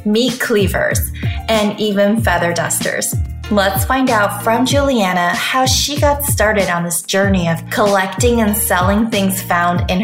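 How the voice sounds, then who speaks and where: Woman podcast host doing a casual interview, echoey room, some music. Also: mid-range voice. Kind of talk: scripted intro and casual interview.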